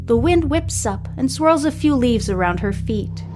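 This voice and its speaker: A woman's voice: high-pitched, animated, unhurried, music in the background. A woman reading a scripted story aloud as the narrator and host of a fiction podcast.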